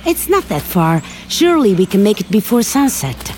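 A woman's voice: mid-range voice, big pitch swings, normal speed, a little mellow, a little hiss or hum, close to an everyday voice. An actress playing a determined woman scientist and explorer in an audio drama, urgent and insistent. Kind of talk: immersive audio drama acting.

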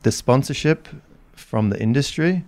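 A man's voice: mid-range voice, lively intonation, moderate pace, no room sound. A man being interviewed as a podcast guest, talking casually and hesitantly, with frequent restarts. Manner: hesitant, full of restarts and "I mean". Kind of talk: casual chat.

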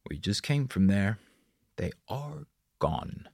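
A man's voice: lowish voice, big pitch swings, slow, vocal fry, husky, no echo, clean studio sound, heavily acted. A man playing a curt, sarcastic, menacing boss of mercenaries in an audiobook. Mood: suspicious.

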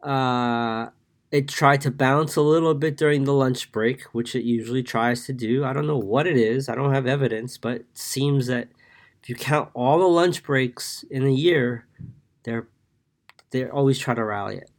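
A man's voice: mid-range voice, normal speed. A man hosting a market podcast, congested from a cold, giving a casual daily recap with many fillers while reading numbers from his notes. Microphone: podcast mic.

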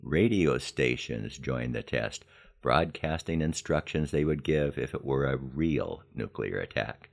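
An American man in his seventies narrating a scripted personal podcast in a measured storytelling manner with deliberate emphasis. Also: a bass voice, animated, vocal fry. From Los Angeles, United States. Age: 70s. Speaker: podcast host.

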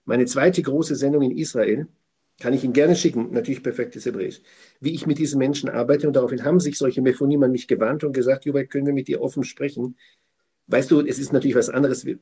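A man's voice: mid-range voice, steady tone, fast talker, warm tone, husky. A man talking as a guest on a weekly Torah portion show, emphatic and digressive, often repeating his phrases. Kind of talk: effusive conversational talk.

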